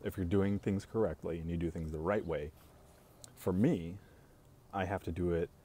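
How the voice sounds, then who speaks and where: A young man with a deep, mellow voice talks slowly in a steady monotone on a home live stream. He sounds soothing and unhurried. Faint background noise sits under the voice. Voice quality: calm monotone voice. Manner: speaks slowly and reassuringly to viewers. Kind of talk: casual live stream chat.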